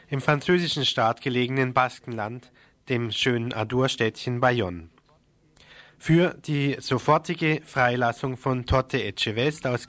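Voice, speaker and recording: A man presenting radio news, reading a prepared report in a formal, measured manner. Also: mid-range voice, a bit bright, no room sound.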